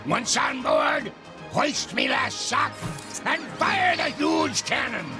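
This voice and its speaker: Shrieking, gravelly cartoon pirate captain. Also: male voice, very high voice, huge pitch swings, slow, heavily acted. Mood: commanding. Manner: theatrical, pirate turns of speech. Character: ghostly pirate captain, loud, bombastic, gleefully menacing. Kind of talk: cartoon video game voice acting. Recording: loud music behind voice.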